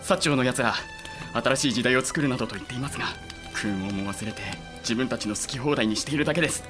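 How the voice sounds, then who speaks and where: An actor playing an earnest, loyal young samurai officer, polite and admiring toward a superior, in a Japanese period audio drama. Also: male voice, medium pitch, fast, over music, close to an everyday voice. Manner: polite, eager, deferential.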